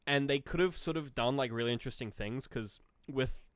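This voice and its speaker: A man co-hosting a podcast, chatting casually about films in a rambling, halting way, often quoting imagined reactions. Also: mid-range voice, a bit bright, dry recording. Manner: rambling, halting explanations full of hedges and self-quotes. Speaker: podcast co-host. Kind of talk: casual film discussion.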